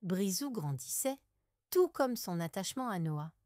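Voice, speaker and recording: A woman's voice: medium pitch, sing-song, a little slow, dark tone, no room sound, studio quality. A woman reading a scripted children's story as a storyteller.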